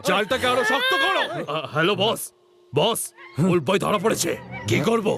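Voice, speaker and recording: Gruff mocking hunter. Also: male voice, sits high, very animated, moderate pace, heavy background noise, full character voice. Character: rough greedy hunter, adult man. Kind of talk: cartoon dub acting.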